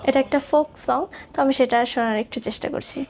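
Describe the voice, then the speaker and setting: A woman's voice: high-pitched, quick pace, darkish tone. A woman, a singer-songwriter earnestly explaining herself in interview answers. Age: 20s.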